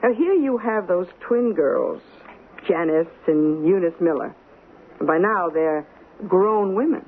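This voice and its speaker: Lively, affectionate radio-drama wife. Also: female voice, on the low side, unhurried, phone-quality sound. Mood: eager, persuasive. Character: affectionate, reasoning wife of a worried mayor. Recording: thin, noisy old radio broadcast.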